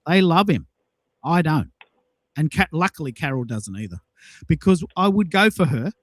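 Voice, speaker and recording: A man's voice: a little high, expressive, moderate pace, dry recording. A man hosting a casual audio chat, telling personal anecdotes in an emphatic way and repeating himself for effect. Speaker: host of a social audio show.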